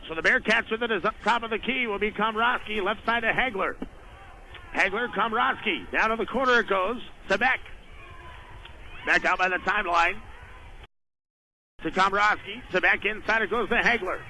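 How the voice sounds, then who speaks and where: High-pitched man calling basketball on noisy radio. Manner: rapid clipped descriptions, rising to excited shouts on big plays. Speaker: basketball play-by-play announcer. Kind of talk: live radio sports commentary. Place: indoor basketball game. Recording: noisy radio broadcast from a gym.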